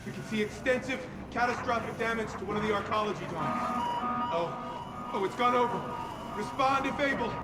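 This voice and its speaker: An actor playing an alarmed spaceship pilot sending an urgent, strained distress call in a sci-fi game, echoey and buried in noise. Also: male voice, sits high, brisk, lightly acted. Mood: panicked, urgent. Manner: urgent, strained radio reporting. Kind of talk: dramatic video game radio acting. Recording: echoey distress transmission under loud noise.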